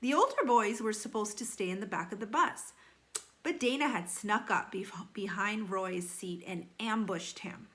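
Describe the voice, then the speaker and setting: Woman, bright forceful voice, middle pitch, children's read-aloud, animated delivery, deliberate emphasis. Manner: animated storytelling with deliberate emphasis and dramatic slowing. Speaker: teacher reading aloud to children.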